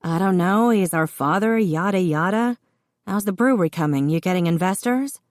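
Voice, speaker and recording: A woman voicing a heavily pregnant woman who teases her brother in quick, exclamatory bursts. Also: fairly low pitch, no room sound, clean studio sound, voice pushed up, natural voice. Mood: chatty. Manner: teasing, exclamatory. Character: pregnant adult woman, teasing, blunt older sister.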